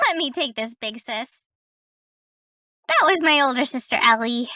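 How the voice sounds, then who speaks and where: A woman's voice: high-pitched, sing-song, measured pace, pushed and bright, dry recording, studio quality, heavily acted. A woman voicing a perky thirteen-year-old cartoon babysitter with a teasing, matter-of-fact manner.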